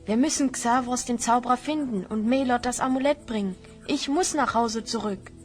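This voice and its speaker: A woman's voice: on the high side, normal speed, soft background music, close to an everyday voice. A woman playing an earnest, polite young hero on a quest in a children's fantasy radio play. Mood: wistful.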